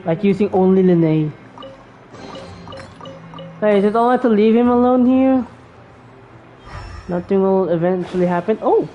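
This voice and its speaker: A woman's voice: mid-range voice, a little slow, long pauses, faint music behind. A woman giving casual, rambling gameplay commentary in an informal way. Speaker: gameplay streamer. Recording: dull lo-fi mic over game noise.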